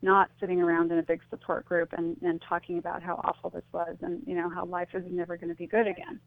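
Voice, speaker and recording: A woman's voice: fairly low pitch, flat delivery, fast, dry recording. A woman being interviewed on radio over a narrow phone-like line, explaining her views earnestly in a conversational way. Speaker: interview guest, author.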